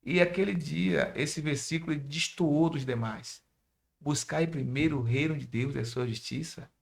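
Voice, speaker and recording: A man's voice: a little high, moderate pace, vocal fry. A Brazilian man with a Bahian accent, a pastor and missionary telling his testimony in a church interview and speaking directly to young listeners. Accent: Bahian Portuguese. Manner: preachy, addresses youth directly.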